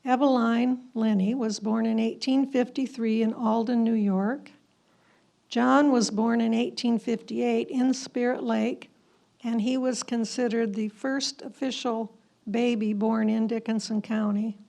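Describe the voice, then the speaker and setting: A woman's voice: a little high, steady tone, measured pace, creaky, warm tone. A woman reading scripted historical narration aloud as a narrator.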